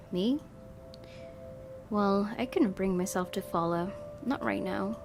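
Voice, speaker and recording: A woman hosting a gaming video, reading a visual novel's narration aloud and dropping in casual asides. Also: lowish voice. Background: game music.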